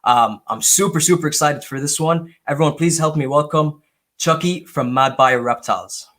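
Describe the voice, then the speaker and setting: A man's voice: fairly high pitch, moderate pace, a bit bright. A man hosting a casual interview, speaking informally and with enthusiasm. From Canada. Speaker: podcast host.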